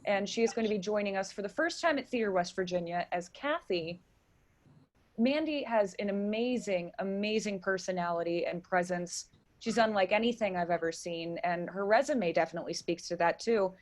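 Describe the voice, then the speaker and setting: Enthusiastic woman, medium-pitched voice. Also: normal speed. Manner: enthusiastic, chatty. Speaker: interview guest, theater stage manager and director. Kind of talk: conversational radio interview.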